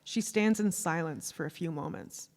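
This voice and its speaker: A woman's voice: low voice, normal speed, vocal fry, darkish tone. A woman reading stage directions as scripted narration at a live play reading. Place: theatre stage before a live audience. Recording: live theatre stage, long hall reverb.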